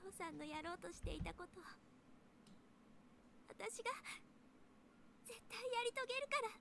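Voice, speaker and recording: Very high-voiced, earnest young heroine. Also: female voice, expressive, fast, vocal fry, noisy background, full character voice. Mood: earnest, emotional. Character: young woman time traveller, earnest, resolute daughter. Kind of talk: Japanese visual novel voice acting.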